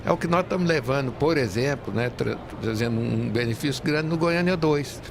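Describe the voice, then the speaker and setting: A man talks as an interview guest at a medium pitch. He explains in a halting, repetitive way, with clear venue noise behind him. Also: lively intonation. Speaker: real estate industry leader, guest. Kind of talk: interview conversation. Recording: noisy venue with urban ambience. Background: clear ambient noise of a busy fair.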